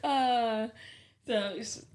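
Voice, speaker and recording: A woman with a light New Jersey accent co-hosting a podcast. She chats in a playful, animated way and laughs often. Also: a little high, very slow. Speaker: podcast co-host. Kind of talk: casual chat.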